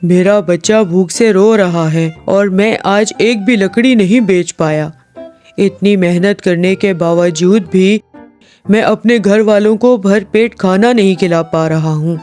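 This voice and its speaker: An actor playing a poor, earnest woodcutter in a cartoon story, with music behind. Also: male voice, sits high, measured pace, darkish tone. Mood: troubled.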